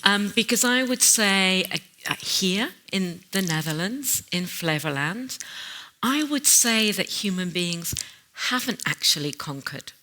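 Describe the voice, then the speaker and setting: A woman's voice: mid-range voice, lively intonation, a little slow, bright, forceful tone, poor audio. A woman speaking in an onstage conference conversation, earnest and emphatic, stressing key phrases as she reflects.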